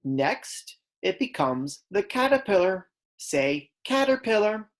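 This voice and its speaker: A woman reading aloud as a kindergarten teacher, in a deliberate, instructional way that stresses key words. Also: medium pitch, sing-song. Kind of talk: read-aloud lesson for young children.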